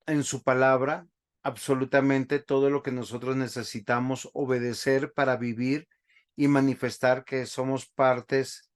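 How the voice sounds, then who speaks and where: A man, a pastor teaching a Bible class over a video call, speaking slowly and stopping often to ask the class questions. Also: mid-range voice, clear, resonant tone. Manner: slow, halting, asks the class questions often.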